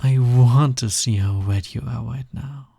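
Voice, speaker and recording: A man acting as a smug, touch-starved naga demon in an intimate audio roleplay. The voice is mellow and breathy with a gravelly creak at the edges. He drawls softly, teasing and coaxing the listener. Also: medium pitch, unhurried, no room sound, studio quality. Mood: flirty, teasing. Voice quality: breathy, soft, slightly gravelly.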